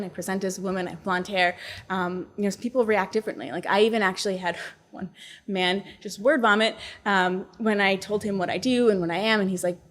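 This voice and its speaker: Calm, soothing young woman guest. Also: mid-range voice. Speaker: guest, neuroscientist and startup founder. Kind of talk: casual interview conversation.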